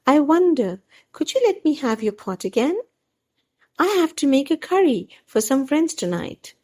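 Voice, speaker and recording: A woman's voice: high-pitched, big pitch swings, normal speed, a little mellow, pitched above the performer's own voice. A woman voicing a sly, sweet-talking neighbour in a children's storybook, with a singsong, exaggeratedly polite manner. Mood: cheerful, polite. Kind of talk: children's storybook character reading.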